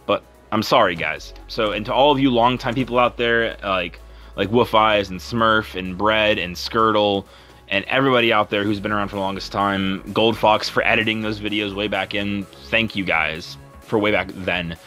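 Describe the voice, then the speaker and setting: A young man with a deep voice talking over gameplay in a rambling, earnest, apologetic way as a content creator. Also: steady tone, moderate pace, bright, forceful tone, faint music behind. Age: young adult. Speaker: YouTuber and streamer.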